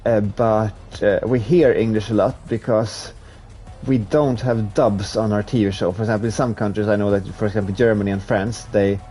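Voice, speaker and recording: A man's voice: fairly low pitch, moderate pace, faint music behind. Swedish man chatting while gaming. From Sweden. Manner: relaxed, rambling, with restarts while playing. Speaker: streamer. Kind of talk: casual chat while gaming live.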